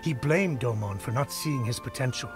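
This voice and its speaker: A gossipy villager, voiced by a man, recounts events in a matter-of-fact, mildly scornful tone at a middling pitch. Soft game music plays behind him. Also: expressive, normal speed, close to an everyday voice. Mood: disapproving. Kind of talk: video game voice acting.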